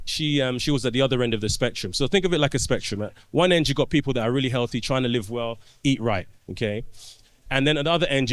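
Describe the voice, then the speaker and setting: A man, a doctor guest on a podcast, explaining his work through anecdotes in relaxed conversation and often restarting his phrases. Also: mid-range voice, dry recording.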